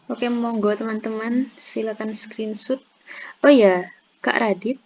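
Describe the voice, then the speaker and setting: A woman's voice: a little high, fairly even intonation, measured pace, darkish tone, lo-fi sound, a little hiss or hum. A woman moderating an online class, relaying questions and repeating phrases after the tutor. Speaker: club moderator.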